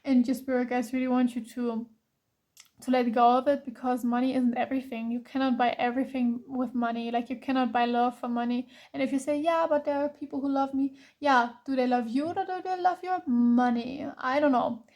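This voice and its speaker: A woman's voice: sits high, fairly even intonation, fairly quick, darkish tone. A woman with a German accent, German being her first language, giving a blunt, earnest pick-a-card tarot reading full of ums and restarts. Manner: blunt, earnest, calling the viewer out directly; frequent ums and restarts, repeats "to be honest".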